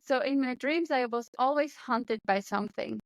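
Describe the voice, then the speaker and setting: A woman's voice: sits high, moderate pace, no echo. Swiss-accented woman, earnest and searching. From Switzerland. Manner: earnest, searching, with restarts and laughs. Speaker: guest, medium and coach. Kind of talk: casual interview conversation.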